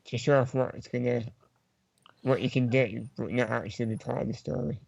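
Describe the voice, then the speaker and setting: A man's voice: mid-range voice, steady tone, a little fast, creaky, darkish tone, slightly rough, dry recording. A man chatting casually about video games as a podcast panellist. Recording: dull, close, dry microphone sound.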